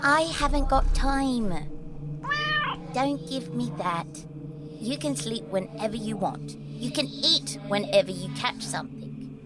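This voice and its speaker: Victoria, a bright-voiced scolding girl played by a woman. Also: fairly high pitch, expressive, takes it slowly, music in the background. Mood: exasperated. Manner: exasperated, scolding, chasing a cat. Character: busy, quick-witted young girl, impatient. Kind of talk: audio drama acting.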